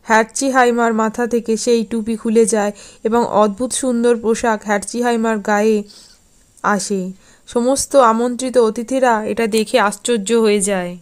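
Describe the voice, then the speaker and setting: A woman's voice: a little high, steady tone, normal speed. A woman narrator reading a scripted fairy tale for a cartoon.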